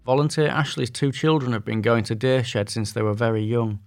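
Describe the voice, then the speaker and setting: A man with a Yorkshire accent, hosting a podcast and narrating in a friendly, measured way. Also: medium pitch, dry recording, soft background music. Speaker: podcast host.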